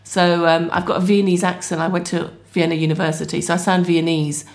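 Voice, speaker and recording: A woman from Britain, a stand-up comedian, chatting fast and laughing easily as a podcast guest. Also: fairly low pitch, level tone. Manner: fast-talking, quick laughs. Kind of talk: casual interview chat.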